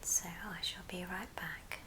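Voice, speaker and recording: A woman whispering breathily in a gentle ASMR craft tutorial, explaining step by step. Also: fairly low pitch, level tone, takes it slowly.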